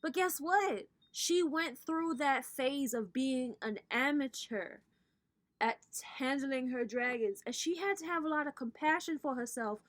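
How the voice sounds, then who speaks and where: Sassy, playful woman in her thirties. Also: high-pitched. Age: 30s. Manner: sassy, playful, swears casually. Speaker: tarot reader and spiritual coach. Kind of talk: casual tarot reading vlog.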